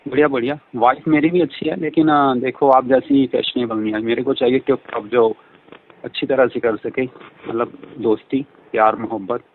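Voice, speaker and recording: A man's voice: medium pitch, fairly even intonation, moderate pace. Tinny phone audio, man, mid-range voice, talkative, effusive, repeats himself, flirty call. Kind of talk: flirtatious phone conversation in Hindi mixed with English.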